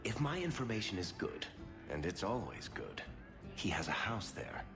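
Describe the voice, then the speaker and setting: A man's voice: on the low side, sing-song, moderate pace. A man voicing a wry, sardonic male dwarf merchant in a video game cutscene. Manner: sardonic, dry humor. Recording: game cutscene with music behind.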